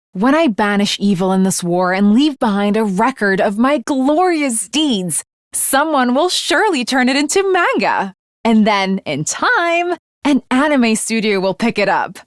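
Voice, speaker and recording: A woman's voice: a little high, very animated, measured pace, no echo. Grandiose young swordswoman, dry sound. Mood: proud, grandiose. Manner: formal, archaic, grandiose declarations. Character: earnest teenage swordswoman, grandiose and chivalrous, secretly fangirlish. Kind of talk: English anime game voice acting.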